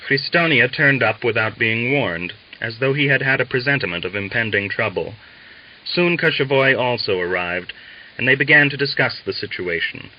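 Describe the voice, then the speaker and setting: A man's voice: medium pitch, lively intonation, moderate pace, highs cut off. A man reading a novel aloud as scripted literary narration for an audiobook. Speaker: audiobook reader.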